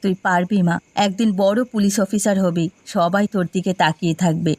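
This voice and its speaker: A woman voicing a gentle, long-suffering poor village mother in a fairy-tale cartoon, tender and consoling in manner. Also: medium pitch, fairly even intonation, moderate pace, no echo, natural voice. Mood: sorrowful, consoling. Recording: music under the voice.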